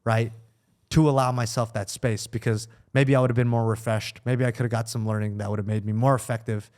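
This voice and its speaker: A man speaking candidly and casually as a podcast guest, introspective and haltingly searching for his words. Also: mid-range voice, little pitch movement, darkish tone.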